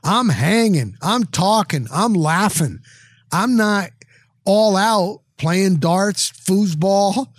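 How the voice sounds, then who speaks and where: A middle-aged man talks sports in a casual, chatty way. His voice sits a little higher than average and the sound is dry and close, with rambling sentences and emphatic repeats. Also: lively intonation, slow, dry recording. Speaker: sports broadcaster.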